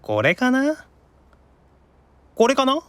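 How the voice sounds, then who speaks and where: Man playing a lively, sulky young male demon. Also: extremely high pitch, dry recording, pitched above the performer's own voice, heavily acted. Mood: playfully teasing. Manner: grumbling, quick to retort. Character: Leviathan, sulky teasing young male demon who loves games. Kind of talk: live anime-style audio drama acting.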